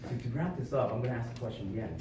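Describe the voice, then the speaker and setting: A man's voice: mid-range voice, lively intonation, racing, far from the mic, noisy background. Man giving a talk in an echoey room. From born in Vietnam, raised in Florida. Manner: earnest, explanatory, with rhetorical questions. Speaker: club speaker. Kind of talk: prepared public speech. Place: meeting room with small audience.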